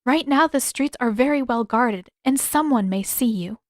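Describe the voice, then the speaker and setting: A courteous teenage princess acted by a woman reader, pitched a little high and careful with her words. She is gentle, thoughtful and hesitant, as in an audiobook. The recording is very dry. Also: normal speed, dry recording, natural voice. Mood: polite, apologetic. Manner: careful, hesitant, polite phrasing.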